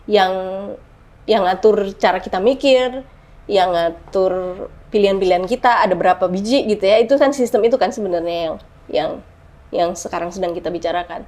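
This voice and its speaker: A woman's voice: mid-range voice, moderate pace, a little mellow. A middle-aged woman talking frankly in a casual podcast chat, using slang and repeating words for emphasis. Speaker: podcast guest.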